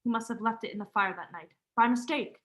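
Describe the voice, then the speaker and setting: A woman acting high, bright, frightened radio-mystery heroine. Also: quick pace, natural voice. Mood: urgent, accusing. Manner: urgent, emphatic, melodramatic. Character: frightened, suspicious young woman, earnest and insistent. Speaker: student actor.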